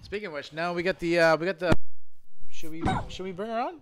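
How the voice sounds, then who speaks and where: A man's voice: high voice, quick pace. A man hosting a casual live podcast, joking around and making mock announcements. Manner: joking, hype-announcer delivery. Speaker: podcast host.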